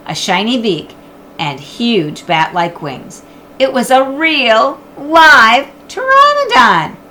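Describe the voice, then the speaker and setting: Female storybook narrator. Animated, mid-pitched, expressive, with faint noise behind the voice. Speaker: audiobook reader.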